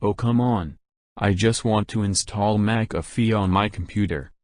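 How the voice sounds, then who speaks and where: Man playing a low-voiced, grumbling computer user. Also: a little slow, a little mellow, no echo, clean studio sound, close to an everyday voice. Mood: complaining. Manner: blunt, whiny, complaining. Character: demanding, easily annoyed male computer user.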